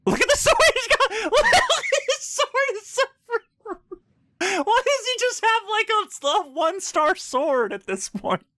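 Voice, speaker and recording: A male game streamer with a bright, forceful voice that swings widely in pitch. He reacts casually and excitably in loose slang, talking close to the mic. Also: measured pace, no echo. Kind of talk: casual live playthrough commentary.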